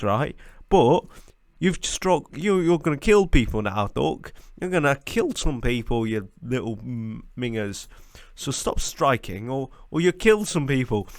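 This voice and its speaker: A man's voice: medium pitch, dry recording. Guy doing a rude sneering government official in a weird put-on accent, dry close sound. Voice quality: put-on odd regional accent, insulting. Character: gruff scornful male government spokesman.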